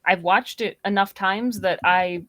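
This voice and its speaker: A woman's voice: medium pitch, measured pace, a bit bright. A woman in a casual, conversational discussion as part of a podcast panel. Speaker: podcast guest or co-host.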